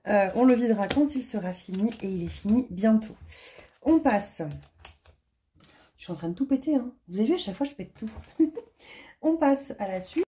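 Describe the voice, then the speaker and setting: A woman in her late thirties chatting casually to camera at home about her monthly budget, laughing often and filling pauses with lots of hesitations. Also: on the high side, animated, dark tone, slight background noise. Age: 30s. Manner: chatty, self-deprecating, laughs often, many fillers. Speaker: budgeting vlogger. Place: home, indoors.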